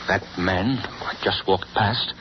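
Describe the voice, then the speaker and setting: An actor playing a calm, determined male hero detective in a 1930s radio drama, steady and commanding, on a muffled broadcast with loud hiss. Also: low voice, a little slow.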